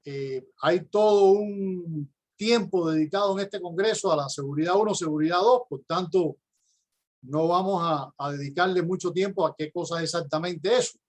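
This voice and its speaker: High-voiced Cuban man lecturing. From Cuba. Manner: measured, explanatory, with occasional hesitations. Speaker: university professor and conference speaker. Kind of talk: prepared conference lecture.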